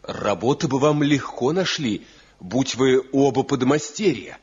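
An actor playing a shrewd, pragmatic village craftsman in a Soviet radio play, husky and folksy. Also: medium pitch, lively intonation, measured pace, a little mellow. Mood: shrewd, brisk. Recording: dull, muffled 1970 radio play recording.

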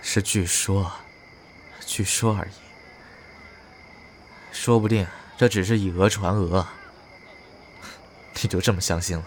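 An earnest young man , played by a male actor, in a supernatural radio play. His voice is fairly low and hesitant, uneasy, with faint noise behind it. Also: fairly even intonation, slow pace, long pauses. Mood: weary, soft. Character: earnest, anxious young man on an eerie mission. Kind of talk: audio drama acting.

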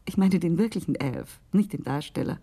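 A woman's voice: on the low side, lively intonation, normal speed, warm tone, husky. An actress playing an intense American businesswoman confiding a scandal in a radio drama monologue, sometimes dropping to a whisper. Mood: incredulous, confiding.

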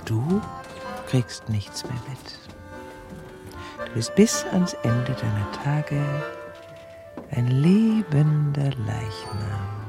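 A woman's voice: very deep voice, sing-song, drawn-out words, creaky, long pauses, over loud music, heavily acted. An actress playing an obsessive, bitter, lovelorn middle-aged woman in a radio crime drama, intimate and often dropping to a whisper. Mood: coldly confiding.

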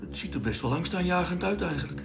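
A man's voice: a little high, lively intonation, quick pace, creaky. A man playing an eager, dreamy young spaceship crewman in a science-fiction radio drama. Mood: eager, uneasy.

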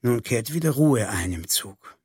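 A man's voice: medium pitch, animated, measured pace, a little mellow, slightly rough, no echo, clean studio sound. A man playing a courteous, droll announcer in a radio play, formal and wry in manner. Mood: dry, deadpan. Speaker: presenter.

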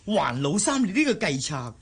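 A man playing a callous, self-important, lecherous older uncle in a Cantonese radio drama, with a raspy, drawling delivery. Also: sits high, very animated, moderate pace, over a noisy crowd. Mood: callous, conniving.

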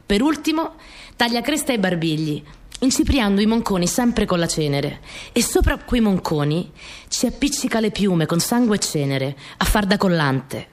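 A woman's voice: medium pitch, expressive, moderate pace, natural voice. An actress playing a young peasant girl who recounts her rural family life in simple, repetitive phrases for a radio play. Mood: matter-of-fact. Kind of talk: first-person dramatic storytelling.

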